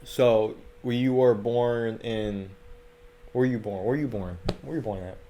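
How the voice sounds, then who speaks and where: A man's voice: medium pitch, measured pace, dark tone. A young man hosting a podcast in casual conversation at home. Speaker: podcast host. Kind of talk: casual chat. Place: indoors, at a home.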